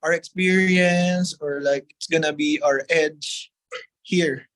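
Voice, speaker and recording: A man's voice: a little high, steady tone, slow, a bit bright, no echo, low-quality recording. A man from the Philippines with a Filipino accent and Tagalog as his native language, speaking politely as a guest in a testimonial interview.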